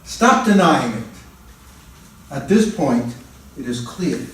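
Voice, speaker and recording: An actor in a dramatic stage reading, playing a stern magistrate who questions and accuses insistently. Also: male voice, fairly high pitch, very animated, takes it slowly, a bit bright, exaggerated voice. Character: stern, accusing magistrate interrogating a murder suspect. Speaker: reader playing the magistrate in a play. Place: large indoor room at a college festival reading. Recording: echoey hall, far from the mic.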